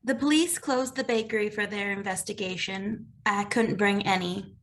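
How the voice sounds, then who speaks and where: A woman playing a timid, earnest young baker and grieving daughter in an animated comedy table read, speaking a little hesitantly. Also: mid-range voice, normal speed, gravelly.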